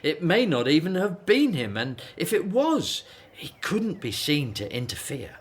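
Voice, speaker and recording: A man's voice: on the high side, wildly swooping pitch, unhurried, breathy edge, heavily acted. An actor in a radio drama playing a weary, aging Hebrew slave father who is patient, earnest and devout.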